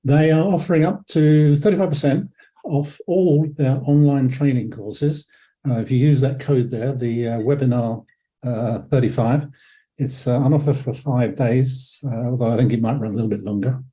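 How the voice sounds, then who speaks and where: An older man from England, croaky from a cold, hosting a webinar in a rambling way with many ums and self-corrections over a video call. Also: medium pitch, fairly even intonation, moderate pace, dark tone. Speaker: webinar presenter. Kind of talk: relaxed webinar talk.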